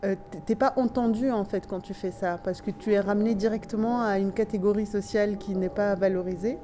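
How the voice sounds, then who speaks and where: A woman's voice: medium pitch, steady tone, dark tone, slight background noise. Hesitant woman with a Tunisian accent. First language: Tunisian Arabic. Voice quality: slightly nasal in French. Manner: hesitant and thoughtful, many pauses and restarts. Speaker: interviewee. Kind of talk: reflective interview answers.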